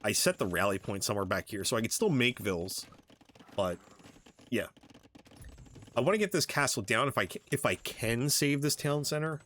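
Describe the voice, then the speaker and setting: A man giving casual, halting commentary on his own strategy game match, thinking aloud as he plays. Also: mid-range voice, animated, a bit bright. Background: background music.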